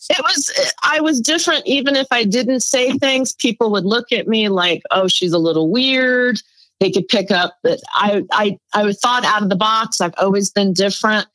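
A woman's voice: medium pitch, moderate pace, dry recording, low-quality recording. A middle-aged woman from New York City whose first language is Spanish, telling stories as a guest in a casual interview. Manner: chatty storyteller, lively laughs. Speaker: guest psychic.

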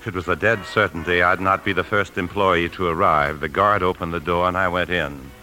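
A very low, forceful male voice, a guilt-ridden American husband confessing his story in a 1950s radio play. He sounds weary and grave, with music in the recording. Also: steady tone, a little fast. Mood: grave, confessional. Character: guilt-ridden, weary husband and bank employee turned thief. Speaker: lead actor and narrator. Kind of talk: 1950s radio drama acting, first-person narration.

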